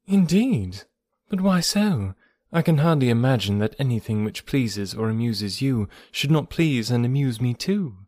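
A man voicing a lively, eager young gentlewoman with emphatic, genteel speech in an audiobook. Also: mid-range voice, measured pace, no echo, studio quality. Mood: puzzled. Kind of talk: audiobook character dialogue.

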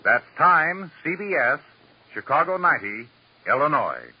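A man's voice: a little high, very animated, unhurried, loud music behind the voice. A man reading scripted announcements as a 1950s radio announcer. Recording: muffled, hissy old radio recording.

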